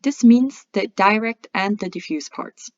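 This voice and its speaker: A woman presenting a scripted software tutorial in a methodical, step-by-step instructional way. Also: medium pitch, a little slow. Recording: dull lo-fi voice-over.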